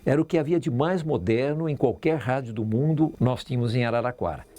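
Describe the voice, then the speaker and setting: A man's voice: mid-range voice, fairly quick, dark tone, soft background music. A man with a Brazilian accent recalling things informally in an interview. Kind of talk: interview recollection.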